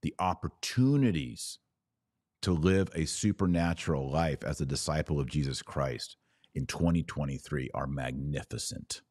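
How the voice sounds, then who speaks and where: An American man in middle age speaks in a very deep bass register. He delivers religious commentary like a podcast host, emphatic and preachy but with folksy, conversational phrasing. The sound is dry and close to the microphone. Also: moderate pace, darkish tone, dry recording. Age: middle-aged.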